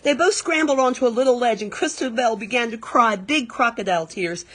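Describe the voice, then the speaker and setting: A woman reading a children's picture book aloud expressively, pausing to explain things to young listeners. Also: on the high side, a bit bright. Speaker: read-aloud storyteller.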